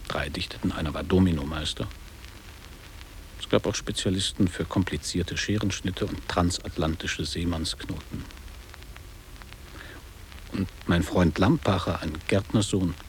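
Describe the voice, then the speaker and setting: Low-voiced wistful middle-aged former pupil. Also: male voice, a little hiss or hum, rough recording. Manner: measured, literary, storytelling delivery. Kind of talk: German radio play acting.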